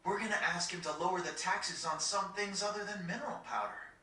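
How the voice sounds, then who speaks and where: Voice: medium pitch, a little fast, bright, forceful tone, close to an everyday voice. An actor playing a casual, protective, take-charge teenage boy in a video game cutscene, frank and informal. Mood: reasoning. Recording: echoey, distant game audio.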